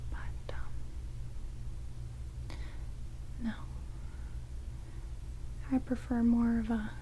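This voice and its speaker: A woman playing an ancient, quirky vampire in an ASMR roleplay, speaking in halting, hushed whispers and soft murmurs. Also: fairly high pitch, little pitch movement, poor audio. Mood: wry, teasing. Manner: hushed, rambling, halting, drawn-out words. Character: ancient vampire, quirky, blunt yet caring, bandaging wounds. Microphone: close binaural microphones. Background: heavy rain.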